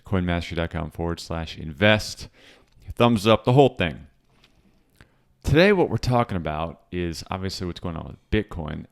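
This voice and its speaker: A man with a hoarse voice, hosting a casual market commentary show and rambling through charts with fillers and restarts. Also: low-pitched. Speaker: crypto trading show host.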